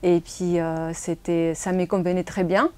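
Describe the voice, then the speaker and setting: A graphic designer and photographer from Spain, a native Spanish speaker with a Spanish accent, answering interview questions in plain, slightly non-native phrasing. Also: female voice, lowish voice, little pitch movement, measured pace, darkish tone, clean tone, no room sound.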